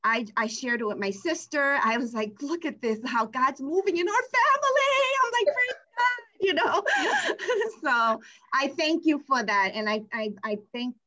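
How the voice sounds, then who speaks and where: A woman in her forties with a New York accent, talking on a video call in an animated, devout way. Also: high-pitched, measured pace. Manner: animated, devout, exclaiming praise. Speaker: guest participant. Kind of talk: casual video call chat. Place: room indoors. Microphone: video call webcam.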